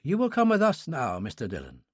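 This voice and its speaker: A man voicing a polite, formal young Chinese woman who speaks softly and deferentially. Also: mid-range voice, wildly swooping pitch, brisk, breathy edge, no room sound, clean studio sound.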